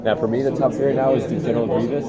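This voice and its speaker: A man's voice: mid-range voice, fairly even intonation, racing. A young man chatting casually and spontaneously. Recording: dull audio with loud crowd chatter.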